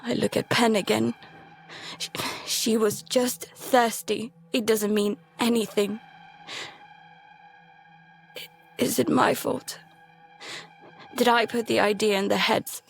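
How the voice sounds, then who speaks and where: A scared, guilt-ridden youngster in an audio drama. The voice is fairly high, slow and breathy with a creaky edge, and the words come haltingly, sometimes stammered. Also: female voice, level tone, soft background music. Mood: anxious, guilty. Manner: halting, stammering, breaking into whispers. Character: frightened, guilt-ridden youngster narrating a lockdown at sea. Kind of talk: first-person audio drama narration with dialogue.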